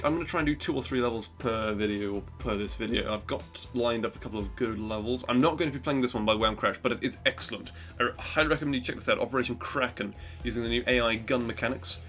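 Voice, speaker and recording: Gaming youtuber, stuffy nose, lowish bright voice, faint game music behind. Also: male voice. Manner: rambling, self-deprecating. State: stuffy nose from hay fever. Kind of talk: casual gameplay commentary.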